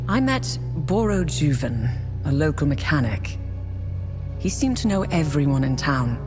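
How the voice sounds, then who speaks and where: Woman playing a game sidekick doing briefings, low voice, no-nonsense, music behind. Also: natural voice. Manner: matter-of-fact, informative. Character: businesslike, sharp-witted female ally. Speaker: video game ally giving briefings. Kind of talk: video game cutscene acting.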